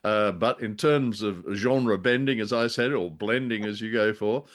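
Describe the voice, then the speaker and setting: A man's voice: lowish voice, unhurried. A man co-hosting a discussion of old radio drama, chatting casually in a hesitant, wry way that tends to wander. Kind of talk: casual chat, review commentary.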